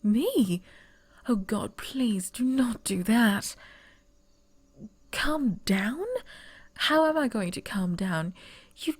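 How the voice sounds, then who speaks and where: A woman voicing a young noblewoman who would be heir, whispering softly and stammering when flustered, in an ASMR roleplay. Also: a little high, animated, drawn-out words, long pauses, husky, heavily acted.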